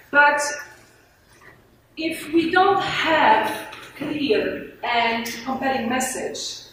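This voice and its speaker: A woman with a Polish accent giving an informal business presentation, speaking hesitantly and often searching for words. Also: a little high. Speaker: conference speaker on marketing. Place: indoor event room with audience. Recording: very echoey room, distant mic, clear noise.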